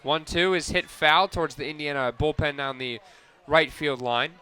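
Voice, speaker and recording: A man calling softball play-by-play for radio, narrating each pitch and play in crisp descriptive detail. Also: moderate pace. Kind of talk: live softball radio commentary.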